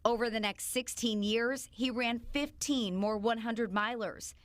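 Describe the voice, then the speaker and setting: A woman reporter narrating a feature story in a measured, scripted voice-over. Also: on the high side, a little hiss or hum. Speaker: news reporter.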